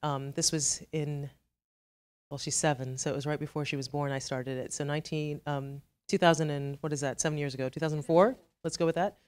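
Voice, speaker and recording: A woman's voice: deep voice, fairly even intonation, brisk, darkish tone. A middle-aged woman from Trinidad with a Trinidadian accent, giving a chatty, self-deprecating conference talk full of asides. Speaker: conference speaker. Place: conference hall.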